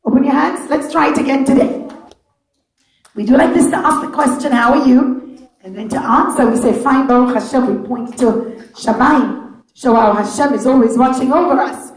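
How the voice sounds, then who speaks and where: A woman storyteller and teacher addressing young children in simple, deliberate phrases with repetition. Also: high-pitched. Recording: echoey room.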